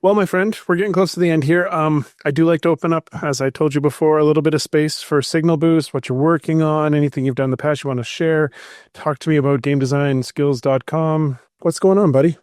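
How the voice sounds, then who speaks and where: Higher-voiced man hosting, dry sound. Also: steady tone, brisk, darkish tone, no echo. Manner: chummy, affirming, addresses guest as friend. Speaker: podcast host. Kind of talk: casual, conversational hosting.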